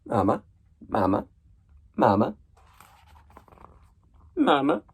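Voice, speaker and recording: A man's voice: medium pitch, sing-song, very slow, husky, pitched above the performer's own voice, full character voice. A man voicing a tiny, needy baby gosling in a children's storybook, calling out pleadingly for its mother.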